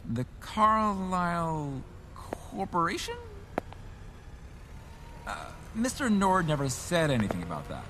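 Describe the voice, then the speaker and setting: An actor playing an earnest, politely officious company assistant in a radio drama, a little fussy. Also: male voice, high voice, very animated, slow pace, pauses often, noisy background, exaggerated voice. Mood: flustered.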